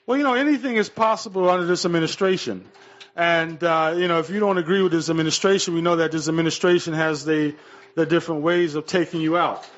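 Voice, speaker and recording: Male talk radio host, higher register, hesitant and agreeable delivery, somewhat lo-fi sound. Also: fast. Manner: hesitant, repetitive phrasing, often echoing and agreeing. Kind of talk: political talk radio conversation.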